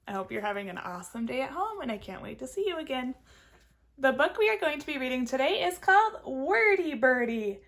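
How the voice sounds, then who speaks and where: A woman kindergarten teacher reading aloud to young children, expressive and encouraging, stopping to ask simple questions. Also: high voice, brisk.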